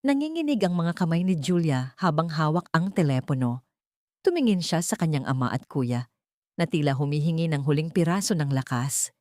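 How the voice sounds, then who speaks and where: Lady narrating a dramatic audiobook, kinda low voice, dry close sound. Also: lively intonation, moderate pace, darkish tone, no room sound. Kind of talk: dramatic scripted story narration.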